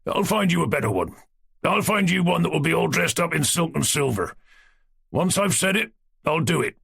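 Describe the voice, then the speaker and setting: A man reading aloud voices a loud, teasing old Cossack man who speaks emphatically. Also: fairly high pitch, very animated, moderate pace, creaky, husky, pitched above the performer's own voice, heavily acted. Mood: boisterous.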